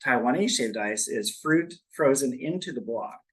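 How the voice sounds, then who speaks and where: Man from Seattle, mid-range voice, easygoing food vendor pitching to a city board. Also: moderate pace. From Seattle, USA. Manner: relaxed, explanatory, with "um" fillers. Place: city board meeting room.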